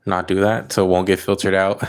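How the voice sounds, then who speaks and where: A man with a somewhat low voice hosts a casual livestream as a reader, talking straight to his viewers. He is chatty and loose, with plenty of "like" fillers as he answers comments one by one. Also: little pitch movement, normal speed. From California. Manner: loose and chatty, leans on "like" fillers, reacts to chat as he reads it. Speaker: psychic reader hosting a livestream.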